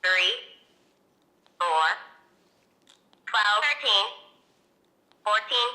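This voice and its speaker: Bright, slow female walkie-talkie voice prompt. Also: medium pitch, expressive. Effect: small radio speaker. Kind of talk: short device announcements. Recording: echoey playback from device speaker.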